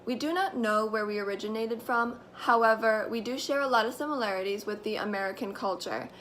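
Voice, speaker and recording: A teenage girl giving a mock-serious school presentation, telling a made-up story in a deadpan, mock-formal way. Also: fairly high pitch, brisk, a little hiss or hum. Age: teens. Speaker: student presenter.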